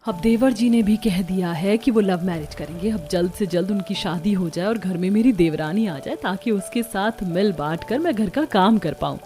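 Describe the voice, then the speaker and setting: A woman's voice: medium pitch, normal speed, few pauses, mellow, lightly acted. A woman voicing a dutiful, overworked housewife in a cartoon family story, polite and plaintive. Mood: eager. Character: dutiful, overworked housewife elder sister-in-law, meek.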